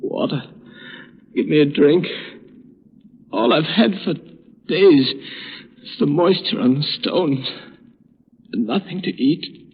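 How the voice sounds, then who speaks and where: An actor playing a weak, starved, desperate prisoner in an old-time radio drama, husky, breathy and feeble. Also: male voice, fairly high pitch, lively intonation, takes it slowly, long pauses, full character voice. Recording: echoing vault reverb, dull old recording.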